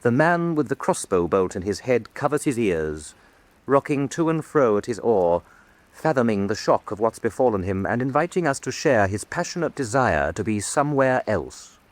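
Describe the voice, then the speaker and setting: A man narrating a description of a painting in a measured, descriptive way. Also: mid-range voice, big pitch swings, no echo, natural voice. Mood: solemn. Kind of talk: scripted narration.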